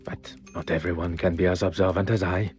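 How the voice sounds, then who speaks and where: A man as low-voiced, musing French-accented detective. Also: little pitch movement, fairly quick, a little mellow, lightly acted. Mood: smug, pensive. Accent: French-speaking. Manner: formal, self-satisfied. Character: self-assured male detective musing privately. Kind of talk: inner monologue in a video game. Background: music.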